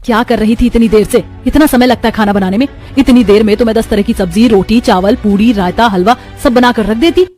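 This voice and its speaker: A woman's voice: on the high side, fairly quick, hardly any pauses, warm tone, faint music behind, natural voice. Woman playing a scolding, exasperated mother. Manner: scolding, instructive. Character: nagging, exasperated middle-aged mother. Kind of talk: Hindi cartoon story dubbing.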